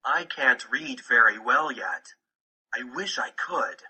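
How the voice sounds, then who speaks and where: A man's voice: medium pitch, takes it slowly, sharp tone, breathy edge. A man narrating a children's picture book from a script. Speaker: audiobook narrator.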